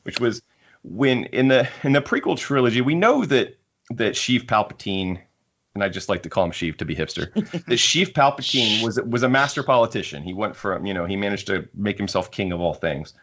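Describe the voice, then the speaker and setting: A man with a deep voice hosts a casual book discussion. He often restarts his phrases as he works toward a point. The recording is a little dull and lo-fi. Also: expressive, moderate pace, fairly bright tone. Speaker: podcast host. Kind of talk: casual discussion of sci-fi books.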